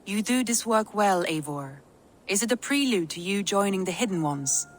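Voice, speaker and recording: A woman playing a composed, supportive female adviser in a Viking video game, calm, measured and formal. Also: mid-range voice, natural voice. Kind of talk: dramatic video game acting.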